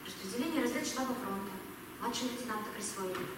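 A young woman playing an earnest, girlish army signaller in an amateur audio drama, halting shyly and repeating words. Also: medium pitch, natural voice. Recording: noisy echoey hall, distant mic.

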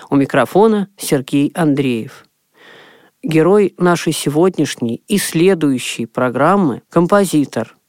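Higher-voiced man presenting on radio, dry sound. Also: darkish tone, dry recording. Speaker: radio music programme host. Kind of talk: radio presenting, introducing old songs.

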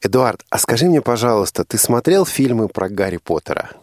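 A man's voice: mid-range voice, very animated, a little fast, no room sound, clean studio sound. A man hosting an informal radio interview, probing by repeating and rephrasing his questions. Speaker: radio host interviewing a young guest.

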